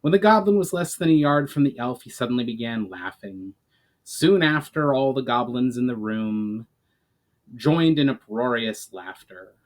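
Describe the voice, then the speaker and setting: A man's voice: mid-range voice, animated, moderate pace. A man giving a dramatic storytime reading as an author and narrator. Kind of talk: dramatic audiobook-style reading.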